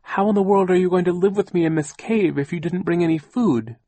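A man's voice: sits high, a little fast, mellow, dry recording, pitched above the performer's own voice. A man voicing a fussy, fearful older aunt in an audiobook, pedantic and whining. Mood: alarmed, fretful. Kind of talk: audiobook character voice.

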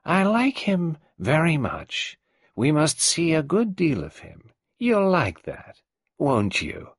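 A man's voice: a little high, huge pitch swings, takes it slowly, no echo, studio quality, voice pushed up, exaggerated voice. Brief: man reading as a venomous old matriarch, raised and sly, taunting, wheezy, swooping inflections. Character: malicious old domineering mother, woman.

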